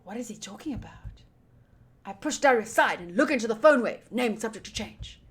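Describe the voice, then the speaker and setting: Higher-voiced woman streaming a visual novel. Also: expressive, a little fast, husky. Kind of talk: visual novel playthrough, reading narration aloud with casual commentary.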